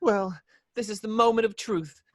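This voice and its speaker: A woman's voice: medium pitch, moderate pace, lightly acted. A woman voicing a frantic, pleading, naive male cartoon rabbit in an amateur table read of a film script. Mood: earnest chatter. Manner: pleading, excitable.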